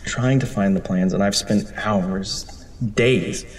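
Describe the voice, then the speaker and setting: An actor playing an anxious, sleepless city architect who stammers in an audio drama. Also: male voice, fairly low pitch, slow, in a large hall. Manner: stammering, rattled.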